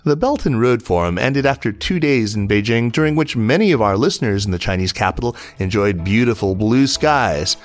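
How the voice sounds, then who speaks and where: A low-voiced man presents a scripted business news podcast as its host. His delivery is that of a news roundup, with music playing underneath. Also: expressive, brisk, few pauses.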